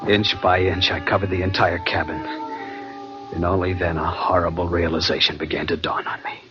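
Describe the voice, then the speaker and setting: An actor plays an American man haunted by dread, in a low, brooding voice. His delivery is tense and dramatic, in the manner of 1940s suspense radio. The sound is muffled and old, with strong music behind. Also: little pitch movement, fairly quick, lightly acted. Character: anxious, haunted man narrating a sea suspense tale. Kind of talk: 1940s radio drama acting and first-person narration.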